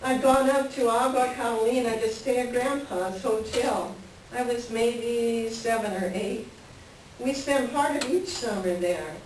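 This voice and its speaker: A woman's voice: fairly high pitch, a little slow, a little mellow, close to an everyday voice. A woman playing a wistful, melancholy married woman in a staged play reading, with a reflective storytelling manner. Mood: wistful reminiscence. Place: library reading before a microphone at some distance. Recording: very echoey room, distant mic, faint noise.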